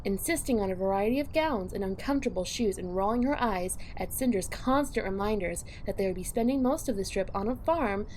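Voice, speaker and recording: A woman's voice: mid-range voice, a little fast, few pauses, darkish tone, slight background noise, low-quality recording. A woman reading scripted third-person narration for an audio drama.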